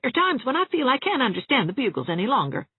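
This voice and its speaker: A woman's voice: high-pitched, big pitch swings, fast, husky, no room sound, voice pushed up, exaggerated voice. A woman voicing a gloomy, fretful elderly spinster who frets and forever fears the worst. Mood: fussy. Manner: mournful, fussy, forever fearing the worst. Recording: tinny phone-like sound.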